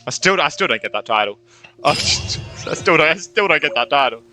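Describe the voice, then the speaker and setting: A man's voice: a little high, lively intonation, normal speed. A young man giving casual, rambling playthrough commentary as a gaming YouTuber, informal and exclamatory. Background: game music.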